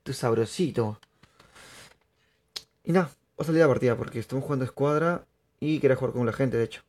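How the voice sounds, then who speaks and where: A man's voice: mid-range voice, quick pace. Chatty young streamer guy. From Peru. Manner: chatty, excitable, laughs often, addresses viewers. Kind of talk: live gameplay commentary.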